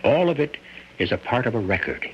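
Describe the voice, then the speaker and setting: A man's voice: mid-range voice, big pitch swings, a little fast, a little hiss or hum. A man hosting a radio mystery drama with theatrical, wry and ominous narration, full of rhetorical questions. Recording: muffled old radio broadcast. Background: music.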